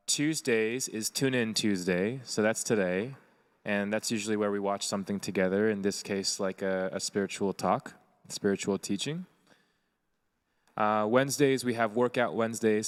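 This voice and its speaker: A man's voice: on the low side, smooth. A man co-hosting a casual live stream, talking loosely with many fillers and reading viewer comments aloud. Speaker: co-host and co-producer.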